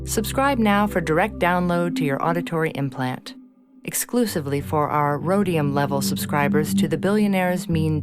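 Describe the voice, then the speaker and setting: Woman acting a low-voiced, smug podcast host. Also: close to an everyday voice. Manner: polished, insinuating presenter delivery. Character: smug fifty-year-old female propaganda true-crime podcast host. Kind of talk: scripted audio fiction narration as podcast. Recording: loud guitar music behind voice. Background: guitar music.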